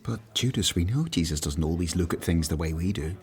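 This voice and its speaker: An earnest, reflective disciple from a Bible radio drama. His fairly low voice is sober and measured, that of someone who weighs things carefully. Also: animated. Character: earnest, thoughtful, serious male disciple of Bible times. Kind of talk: radio play acting.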